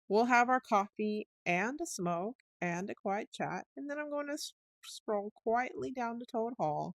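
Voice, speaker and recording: A conceited male toad, now humbled, speaks softly and meekly, as a woman reading a storybook acts him out. His voice is quiet and wistful. The recording is dry and close. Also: fairly low pitch, no room sound.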